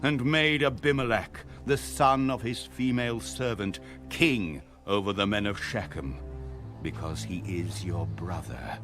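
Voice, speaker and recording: An actor playing an indignant, solemn man pronouncing a curse in a dramatized audio Bible, declamatory and deliberate. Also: on the low side, over music, close to an everyday voice. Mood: solemn indignation.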